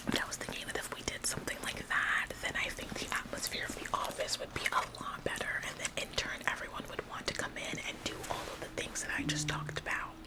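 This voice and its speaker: A woman's voice: quick pace, few pauses, natural voice, rough recording. A woman playing an overeager, bossy, relentlessly upbeat female office worker in a whispered ASMR roleplay, with a passive-aggressive, falsely modest manner. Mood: fretful, nudging. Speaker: ASMR performer.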